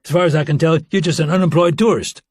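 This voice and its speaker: A man's voice: a little high, fast, no echo, studio quality, lightly acted. A man voicing an indignant, combative former Navy SEAL in a thriller audiobook, with blunt delivery. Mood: defiant, scornful. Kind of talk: audiobook character dialogue.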